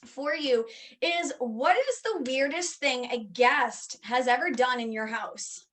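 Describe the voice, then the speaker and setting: A woman chatting casually and asking questions, giggly and expressive. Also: high voice, slow. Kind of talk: casual chat.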